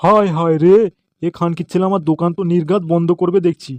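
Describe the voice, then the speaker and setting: Irascible stingy shop owner, male actor, high, rapid, exasperated cartoon scolding over background noise. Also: steady tone, a little mellow, raised voice, lightly acted. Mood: furious exasperation. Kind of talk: Bengali comedy cartoon voice acting.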